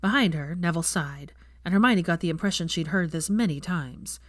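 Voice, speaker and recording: A woman narrating fiction as an audiobook reader, in an expressive scripted storytelling style. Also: lowish voice, brisk, no echo, studio quality.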